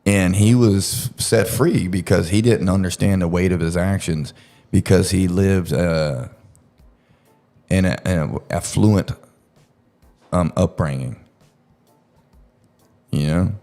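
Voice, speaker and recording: Man, deep voice, creaky, blunt, profane, casual discussion. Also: steady tone, takes it slowly, long pauses, warm tone, soft background music. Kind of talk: casual true crime discussion.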